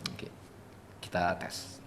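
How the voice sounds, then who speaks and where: A man's voice: takes it slowly, a bit bright. A man hosting a casual interview with a quiz game, playful and laughing often. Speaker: host.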